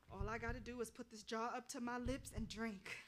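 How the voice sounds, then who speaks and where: A woman's voice: fairly high pitch, noisy background. A woman in a staged play reading, playing a bitter, defiant, hard-drinking woman with profane, slangy, dramatic delivery. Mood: weary, self-mocking.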